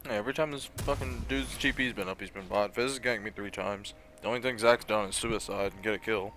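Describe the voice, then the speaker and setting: A man's voice: fairly low pitch, fairly even intonation, a little fast, a little hiss or hum. Brief: young male streamer, home desk mic, ranting play-by-play, profane and easily riled. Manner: profane, ranting, bursts into loud frustration. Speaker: gamer streamer. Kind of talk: casual gameplay commentary. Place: small room at home, indoors.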